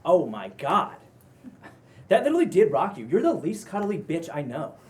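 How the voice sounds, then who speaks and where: A woman's voice: lowish voice, huge pitch swings, normal speed, a little mellow, a little hiss or hum. A woman playing a wry, easygoing male roommate at a comedy table read, casual and sardonic. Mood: teasing.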